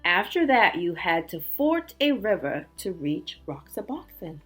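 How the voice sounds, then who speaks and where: A woman teacher reading aloud and explaining words to young children in an expressive way, with simple asides and check-in questions. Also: lowish voice, measured pace, slightly rough, faint music behind. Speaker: elementary school teacher. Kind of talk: read-aloud storytelling and word lesson for young children.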